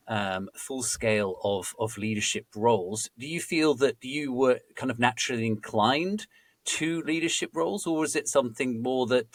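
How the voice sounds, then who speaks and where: A man hosting a podcast interview, speaking haltingly with many restarts. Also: medium pitch, dry recording. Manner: hesitant, many restarts and fillers. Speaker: podcast host, recruiter.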